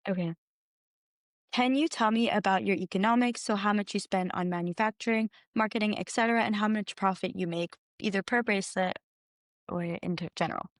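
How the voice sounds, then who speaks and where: A woman's voice: mid-range voice, a little fast, dry recording, studio quality. A woman conducting an interview, asking prepared questions in a conversational exchange.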